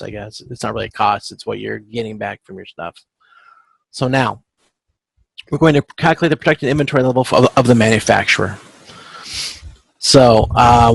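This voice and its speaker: Man, instructor, gravelly fry, medium pitch, halting informal lecture, self-correcting, checks in often, dry sound. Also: no room sound, low-quality recording. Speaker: instructor teaching supply chain management. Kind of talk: informal lecture walking through spreadsheet calculations. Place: online video conference with screen share.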